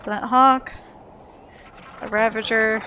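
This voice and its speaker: A woman's voice: fairly high pitch, fairly even intonation, takes it slowly, pushed and bright. Woman muttering through noisy gameplay commentary. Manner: mutters to herself, swears casually. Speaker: video game player recording a playthrough.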